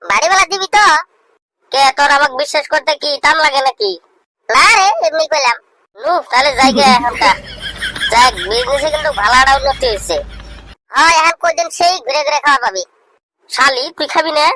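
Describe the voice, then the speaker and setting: Woman acting high, rapid young cartoon schemer. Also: expressive, sharp tone, low-quality recording. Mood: scheming, bickering. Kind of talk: cartoon comedy dialogue. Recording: some background noise.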